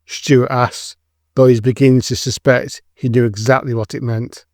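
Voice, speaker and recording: A man's voice: medium pitch, little pitch movement, normal speed, a little mellow, no room sound, clean studio sound. A man reading scripted dramatic narration as the narrator of an audio drama.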